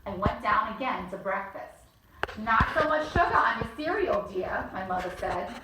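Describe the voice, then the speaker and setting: A woman's voice: medium pitch, animated, normal speed, heavy background noise. A woman reading a children's bedtime story aloud as host, chatting between lines and acting out the characters. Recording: echoey room, mic at a distance.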